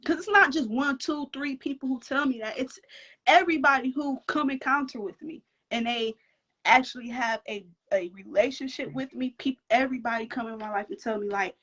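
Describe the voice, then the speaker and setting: A woman with a soft voice sharing personal experiences in an earnest, casual way, often repeating and restarting phrases. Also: high voice, brisk. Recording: dull lo-fi audio.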